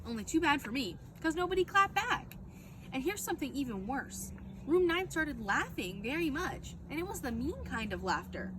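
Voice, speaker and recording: Animated high-voiced woman storyteller, noisy recording. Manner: animated, dramatic narration. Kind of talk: expressive read-aloud of a children's book.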